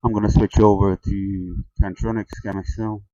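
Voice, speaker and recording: A man giving a casual hands-on tutorial narration, halting and thinking aloud as he goes. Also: fairly low pitch, flat delivery, dark tone, no room sound. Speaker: amateur radio video tutorial presenter. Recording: dull, lo-fi close sound.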